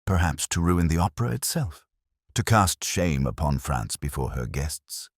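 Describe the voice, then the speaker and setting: A loyal gentleman companion, read by a male narrator, puzzled and earnest. The voice is extremely deep and dry, with formal, questioning delivery suited to an audiobook mystery. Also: big pitch swings, normal speed, no room sound, full character voice. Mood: tentative.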